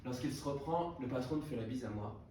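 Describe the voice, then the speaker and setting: A man of middle pitch reads a literary text aloud to an audience in a hotel salon, taking his time and sometimes stumbling and restarting a phrase. He sits well away from the microphone, so his voice rings through a strongly reverberant room. A steady layer of background noise runs underneath. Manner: unhurried reading with frequent hesitations and restarts. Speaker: reader at a book prize reading. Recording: echoey room, distant mic, background noise.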